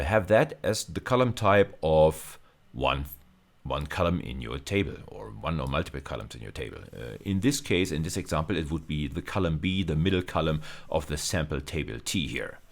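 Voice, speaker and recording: A man lecturing at university level in an extremely low, deep voice. He explains his material step by step in a measured way, often restating a phrase before moving on. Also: creaky.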